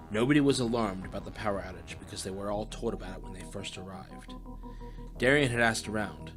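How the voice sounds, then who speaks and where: A man reading scripted story narration as the narrator of an audio drama. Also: on the low side. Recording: music under the narration. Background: music.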